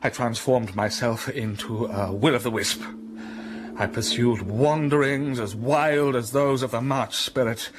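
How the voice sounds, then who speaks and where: Guy playing brooding gentleman telling his past in a radio play, slow and heavy, bit of hiss behind. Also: mid-range voice, fairly even intonation, lightly acted. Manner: slow, brooding storytelling with sudden rises of passion. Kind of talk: radio drama acting.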